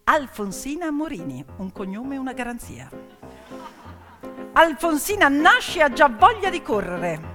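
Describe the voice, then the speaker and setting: A woman delivering a theatrical narrative monologue, dramatic and emphatic, swinging between hushed and loud delivery. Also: sits high, very animated, measured pace. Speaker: stage actress and storyteller. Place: theatre or hall stage. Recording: long hall reverb, music behind. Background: piano music.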